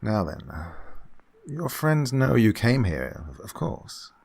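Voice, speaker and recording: An actor playing an unctuous, scheming gentleman employer who feigns kindly absent-mindedness, fussy and repetitive, in an old-time radio horror drama style. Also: fairly low pitch, very animated, takes it slowly, vocal fry, breathy edge, heavily acted. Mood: probing, pompous. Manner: fussy, hesitant, repeats himself, chuckling.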